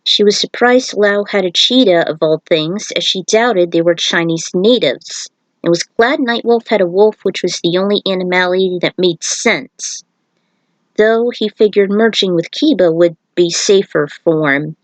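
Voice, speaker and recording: A woman's voice: on the low side, a little slow, no echo, poor audio. A woman narrating a story in a casual read-aloud style, breaking off for informal, offhand asides. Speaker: fan fiction reader and author.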